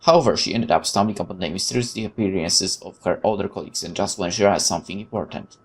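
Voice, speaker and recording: A man's voice: low voice, fast. A man reading visual novel narration aloud dramatically, with casual asides as a gaming host.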